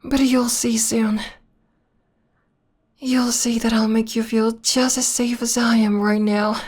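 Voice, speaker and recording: A woman playing a possessive, obsessed young vampire lover in an ASMR romantic roleplay, speaking softly and intimately, often in a breathy whisper. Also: a little high, level tone. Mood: tender. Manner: slow, intimate, sweetly controlling.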